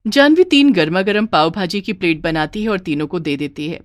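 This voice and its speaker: A woman's voice: fairly low pitch, expressive, a little fast, dry recording. Woman narrator, dry close storytelling voice.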